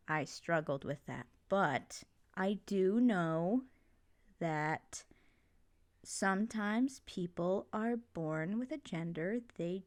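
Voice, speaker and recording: Woman playing a slow-talking, supportive, gentle mom. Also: fairly low pitch. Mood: thoughtful, rambling. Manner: gentle, reassuring, rambling with hesitant asides. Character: supportive, doting, chatty mother comforting her child. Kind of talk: ASMR roleplay, intimate one-sided conversation.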